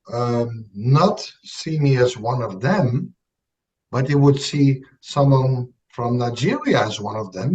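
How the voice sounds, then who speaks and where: Dutch guy hosting a chat, pitch jumps all over, sounds a bit far off and muffled. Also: a little mellow. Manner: poses questions, ends lines with a tag "right?". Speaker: podcast host. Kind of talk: casual conversation. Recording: dull lo-fi sound, set back from the mic.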